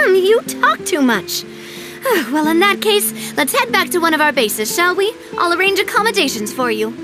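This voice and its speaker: Poised, high-voiced young lady leader. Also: big pitch swings, measured pace, over music, full character voice. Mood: bashful, cautious. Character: poised young lady leading a crime-fighting organization, earnest and polite. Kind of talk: video game cutscene voice acting.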